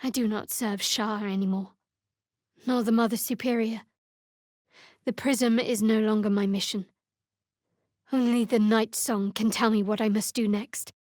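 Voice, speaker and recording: A woman playing a guarded, sardonic young cleric of a dark goddess in a video game, speaking in a dry, wry manner. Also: medium pitch, fairly even intonation, unhurried, pauses often, no echo, close to an everyday voice. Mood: solemn, devout. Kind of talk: video game character voice lines. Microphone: close studio microphone.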